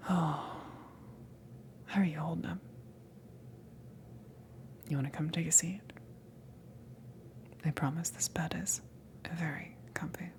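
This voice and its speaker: Woman acting as friendly alien woman, low voice, slow halting delivery, soft ASMR roleplay, awkward and flustered. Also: fairly even intonation, slight background noise. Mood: reassuring, weary. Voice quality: soft, breathy half-whisper. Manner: hesitant, awkward, giggly, halting.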